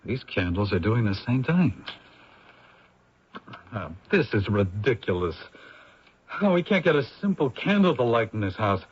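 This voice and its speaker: An actor playing a harried, impatient film director in an old-time radio drama, brusque and commanding. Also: male voice, fairly low pitch, sing-song, measured pace, pauses often, a little hiss or hum. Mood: exasperated. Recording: muffled old radio recording.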